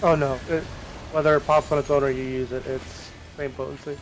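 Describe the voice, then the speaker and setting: A man's voice: mid-range voice, flat delivery, fairly quick, slight background noise, low-quality recording. A man talking casually and jokingly with teammates during an online game. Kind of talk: casual gaming chat. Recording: game music behind the voice.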